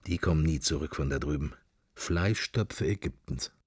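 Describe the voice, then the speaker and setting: A middle-aged everyman lost in his own wry thoughts. His very low voice is close and dry, moving through clipped, wandering fragments as intimate radio drama monologue. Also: normal speed, slightly rough, no room sound. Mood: wry musing.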